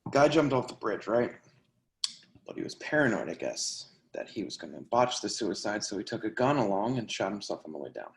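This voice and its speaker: An actor playing a tough, grungy twenty-four-year-old in a play reading, casual, defensive and slangy. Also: male voice, lowish voice, very animated, brisk, vocal fry. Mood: matter-of-fact. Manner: slangy, profane, conversational. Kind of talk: remote play reading, naturalistic casual dialogue. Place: indoor room, remote video call. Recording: roomy, echoey video call.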